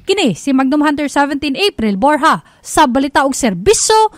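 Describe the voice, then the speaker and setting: A woman's voice: high-pitched, sing-song, normal speed, no echo, clean studio sound. A woman with a Filipino accent reading a scripted radio news bulletin as the anchor.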